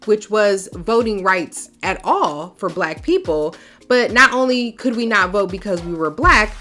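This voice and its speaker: Woman, storybook read-aloud, folksy, expressive, faint music behind. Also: a little high. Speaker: children's storytime host. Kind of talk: reading a picture book aloud.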